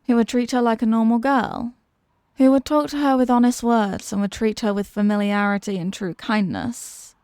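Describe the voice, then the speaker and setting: A woman playing a menacing, mocking demon villainess in a fantasy audio roleplay, theatrical and taunting, with deliberate dramatic pauses. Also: on the high side, darkish tone, dry recording, clean studio sound. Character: possessing demon villainess, menacing, mocking, tormented by borrowed love.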